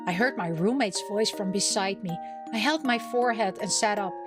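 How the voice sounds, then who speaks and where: A woman reading a visual novel aloud as a gameplay host, expressive and theatrical, with playful asides. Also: mid-range voice, moderate pace, music in the background.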